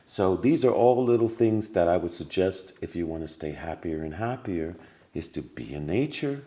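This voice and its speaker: A man's voice: low-pitched, expressive, moderate pace, warm tone. A man speaking as a podcast guest, giving earnest spiritual advice and repeating key words for emphasis.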